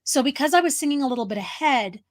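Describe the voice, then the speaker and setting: A woman's voice: sits high, animated, fast talker. A woman chatting casually as a co-host, telling personal stories in an emphatic way. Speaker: talk show co-host. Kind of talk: casual anecdotal chat.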